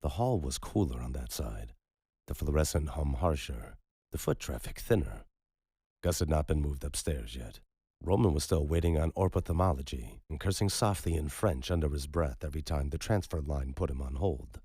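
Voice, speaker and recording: A man reading scripted dramatic fiction as an audiobook narrator, in a husky voice. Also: rumbling low, lively intonation, moderate pace, warm tone, no echo, clean studio sound.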